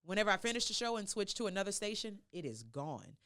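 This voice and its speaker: Animated woman, emphatic casual talker. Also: medium pitch, fast talker. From Louisiana, United States. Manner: emphatic, repeats short phrases. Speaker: podcast guest, radio personality and event host. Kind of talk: casual chat.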